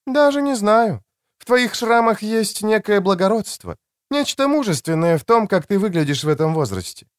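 A man voicing an elegant mature woman doctor in an audiobook, tender, frank and gently teasing, with soft expressiveness. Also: high-pitched, big pitch swings, normal speed, dry recording, clean studio sound, voice pushed up. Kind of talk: audiobook character dialogue.

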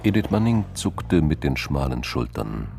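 A man's voice: a bass voice, very animated, a little slow, vocal fry, a little mellow, faint music behind. A man reading scripted narration for a crime radio drama.

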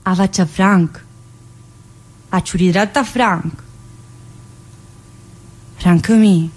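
An actress playing an earthy, wisecracking woman in prison, chatty and crude and swinging from loud outbursts to low muttering. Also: takes it slowly, poor audio. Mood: cheeky. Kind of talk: stage monologue.

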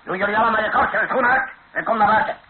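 A man's voice: high-pitched, racing, husky. A male actor playing a drunk, menacing rebel colonel in a radio drama, commanding and accusing in curt orders. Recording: tinny, band-limited old radio recording, faint noise.

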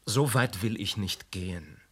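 An evasive witness, radio play, husky voice, defensive. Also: male voice, lowish voice, a little slow. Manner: defensive, curt. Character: defiant, evasive male witness. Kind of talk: German radio drama acting.

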